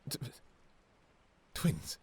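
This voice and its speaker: A man playing an anguished, devoted vampire king in a fantasy romance audio roleplay, speaking in halting, pleading, formal old-fashioned phrases. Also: a little mellow, dry recording. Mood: overwhelmed, joyful. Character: anguished, devoted vampire king, obsessive lover and new father.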